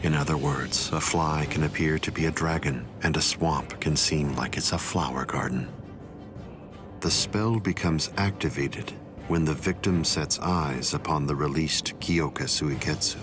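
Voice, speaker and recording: A man voicing a calm, coldly condescending villainous captain in an anime game, with measured, composed delivery. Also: extremely low pitch. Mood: cold, smug. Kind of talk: English voice acting for anime game cutscene. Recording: dramatic music behind the voice. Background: loud music.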